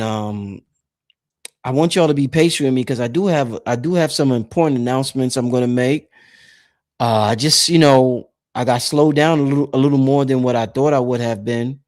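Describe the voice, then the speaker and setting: A man's voice: medium pitch, darkish tone. A man in his late thirties talking casually to his viewers about spiritual matters, rambling and repeating phrases for emphasis. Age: late 30s. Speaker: host of a spirituality channel.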